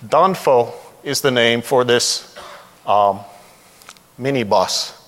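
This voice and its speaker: A man's voice: mid-range voice, takes it slowly, fairly bright tone. Man presenting in a reverberant hall. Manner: conversational, candid, opinionated. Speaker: conference speaker, developer trainer and consultant. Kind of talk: informal conference presentation. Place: large indoor presentation room.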